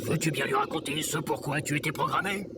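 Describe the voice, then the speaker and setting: A man's voice: fairly high pitch, a little fast, heavy background noise, close to an everyday voice. A man playing an eccentric sage robot mentor in audio fiction, theatrical, lofty and instructive.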